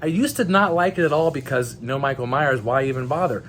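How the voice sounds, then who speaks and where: A man's voice: mid-range voice, a little fast, slight background noise. A man hosting a casual movie vlog, chatty and emphatic, with a jokey streak. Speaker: host of a movie night vlog. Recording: loud music bed under voice. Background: music.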